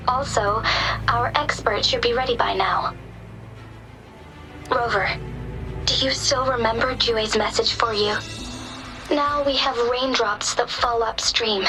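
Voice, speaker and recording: A woman voicing a composed, resolute young female magistrate in a fantasy video game, speaking in a formal, measured and solemn way. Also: fairly high pitch, poor audio.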